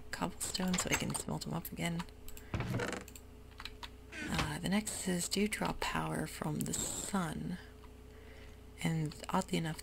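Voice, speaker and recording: A host whispering a slow, meandering tour of a game world, close to the microphone, with frequent pauses and fillers. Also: medium pitch, vocal fry, low-quality recording. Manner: soft mostly unvoiced whisper; hesitant, meandering, with many fillers. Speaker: gameplay ASMR host. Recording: audible hiss with keyboard clicks.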